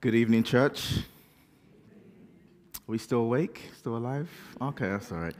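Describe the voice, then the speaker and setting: A man's voice: medium pitch, a little slow, a little mellow. A man with a slight sore throat and cough, preaching a conversational reflection as pastor in a large church. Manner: casual, conversational preaching with fillers. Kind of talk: sermon reflection to a congregation. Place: large church hall, indoors. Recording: long church reverb.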